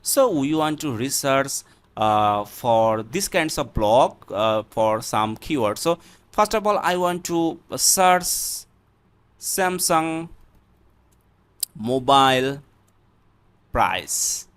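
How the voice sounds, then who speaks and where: This is a man with a Bangladeshi accent leading an unscripted screen tutorial. His pace is slow and his pitch sits a little high for a man. He talks haltingly, often repeating himself as he explains each step. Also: lively intonation, fairly bright tone, clean tone. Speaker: tutorial presenter.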